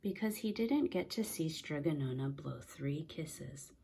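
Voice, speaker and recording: A woman reading a children's story aloud in an expressive, deliberate way, softening at the ends of phrases. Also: deep voice, vocal fry, slight background noise. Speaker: story reader.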